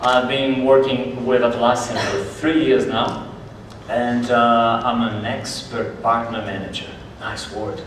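A man in his forties gives a friendly, chatty conference talk at a mid pitch. He sounds distant from the mic, and the large hall around him adds heavy echo and a faint hum of ambience. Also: level tone, measured pace, fairly bright tone. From Brazil. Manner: friendly, conversational, gestures a lot. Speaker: conference speaker. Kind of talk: conference presentation. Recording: echoey hall from far off.

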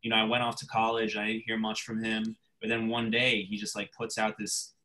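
A man's voice: fairly low pitch, monotone, sharp tone. A man from New York with a New York accent, telling a story eagerly on a video call. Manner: eager storyteller, quick bursts. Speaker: challenge creator guest. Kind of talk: casual video call chat.

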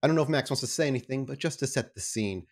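A man's voice: medium pitch, lively intonation, racing. A man narrating a tabletop role-play scene as the storyteller, setting it out descriptively. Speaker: game master, storyteller.

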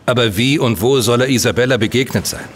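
An actor playing a reserved, sceptical man drawn into amateur sleuthing, with measured delivery in a naturalistic audio drama. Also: medium pitch, a little hiss or hum, natural voice.

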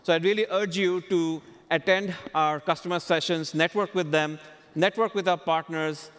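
Male conference host, 40s, slightly high register, stage interview and presentation, headset mic with big-hall reverb. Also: fairly even intonation, moderate pace, fairly bright tone, clear, resonant tone. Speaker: keynote host interviewing a customer. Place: large conference stage, indoors.

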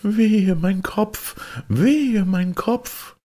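Man putting on a high, wailing young princess. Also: very slow, warm tone. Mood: wailing in pain. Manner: wailing, drawn cries. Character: anguished young sultan's daughter, wailing in pain.

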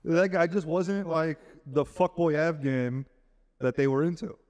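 Slightly high-voiced young man with reverb. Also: unhurried, a little mellow. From Fremont, Bay Area, California. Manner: rambling, self-deprecating, laughs often. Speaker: podcast co-host. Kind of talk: casual podcast chat. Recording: hall reverb.

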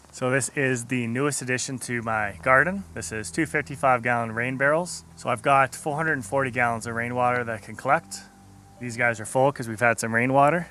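A man's voice: medium pitch, a bit bright, a little hiss or hum. A man in his thirties speaking casually and plainly as a gardening vlogger, explaining things as he goes. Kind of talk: casual narrated garden tour. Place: outdoors in a residential yard.

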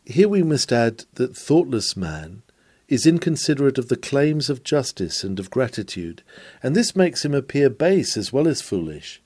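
Male reader, dark mellow voice, solemn declamatory sermon delivery with swells and hushed drops. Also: mid-range voice, sing-song, moderate pace. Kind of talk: reading a Victorian sermon aloud.

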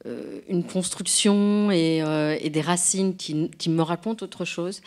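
A woman in her forties speaks into a lectern microphone in a lecture hall. Her voice sits on the low side, and she talks in a reflective, halting way, full of small pauses and restarts. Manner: thoughtful, hesitant, many fillers and restarts. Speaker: guest author. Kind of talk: unscripted public conversation.

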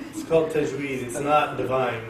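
A man from Oklahoma giving an informal Quran class to an audience in a mosque, talking in a jokey, animated way and turning to people in the room. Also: medium pitch. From Oklahoma, USA. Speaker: Quran teacher. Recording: echoey room, distant mic, faint hiss.

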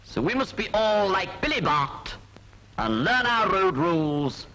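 A man's voice: high-pitched, big pitch swings, measured pace, bright, forceful tone, poor audio. A British man reciting rhyming safety narration in an emphatic sing-song manner, on a vintage film soundtrack. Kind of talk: rhyming road safety narration, 1940s public information film.

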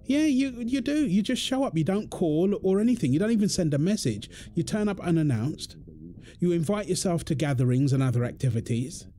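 A man's voice: sits high, expressive, brisk, dark tone, slight background noise. A British man playing an exasperated, defensive husband in an audio roleplay, arguing in an insistent, repetitive way. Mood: exasperated, argumentative. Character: exasperated, defensive husband and father quarrelling indignantly. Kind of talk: dramatic one-sided argument roleplay.

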